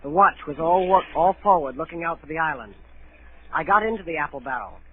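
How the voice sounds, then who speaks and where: An actor playing an earnest narrator who recalls a boyhood sea adventure, in measured 1930s radio storytelling style. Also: male voice, a little high, expressive, a little hiss or hum, close to an everyday voice. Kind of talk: dramatic first-person radio narration, 1930s. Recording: narrow-band 1930s radio broadcast.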